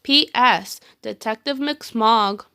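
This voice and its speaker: A woman narrates a picture book for children. Her voice is fairly high and she takes her time, telling the story expressively and deliberately. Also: pushed and bright, clean tone. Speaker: storybook reader. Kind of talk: scripted children's read-aloud narration.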